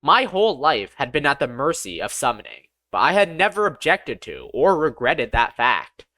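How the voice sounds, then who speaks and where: An audiobook narrator reading a scripted first-person story in an expressive, deliberate storytelling manner. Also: medium pitch, dry recording.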